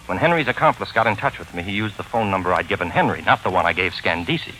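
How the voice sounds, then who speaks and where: Wry, pompous low-voiced gentleman sleuth. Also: lively intonation, quick pace, slight background noise, low-quality recording. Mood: smug. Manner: formal, genteel, mock-pompous diction. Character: wry, pompous gentleman sleuth narrating his own caper. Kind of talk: 1940s radio drama acting, first-person narration. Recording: 1940s radio recording with music behind.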